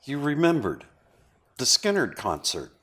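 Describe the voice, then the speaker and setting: A man acting as a genial male college buddy in a stage drama read-through. He has a mid-pitched voice and a slightly hesitant delivery. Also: huge pitch swings, measured pace, fairly bright tone. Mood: nostalgic, breezy.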